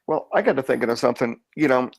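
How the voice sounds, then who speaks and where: Gravelly man with mid-range pitch. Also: expressive, rapid-fire, no room sound. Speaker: podcast panelist. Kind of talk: casual panel discussion.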